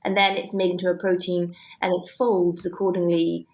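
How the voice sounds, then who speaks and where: A woman's voice: fairly low pitch, little pitch movement, normal speed, a little mellow. A woman with a British accent explaining technical detail conversationally and with enthusiasm, as an interview guest. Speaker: guest, scientist and author.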